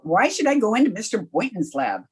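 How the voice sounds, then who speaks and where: An actress playing a wry, wisecracking high school teacher in an old-time radio comedy, with dry, sardonic delivery. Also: female voice, sing-song, a little fast, a little hiss or hum, close to an everyday voice. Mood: chatty, coy. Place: remote recording over video call.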